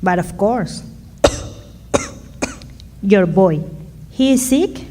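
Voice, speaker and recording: A woman playing a humble, generous Mexican mother in a radio drama, speaking halting Spanish-accented English sprinkled with Spanish words. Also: mid-range voice, sing-song, warm tone, full character voice. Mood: concerned, hospitable.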